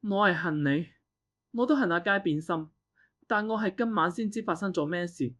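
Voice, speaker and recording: A man's voice: high voice, normal speed, fairly bright tone. A man acting a resentful jilted lover brooding over lost love in an audio drama.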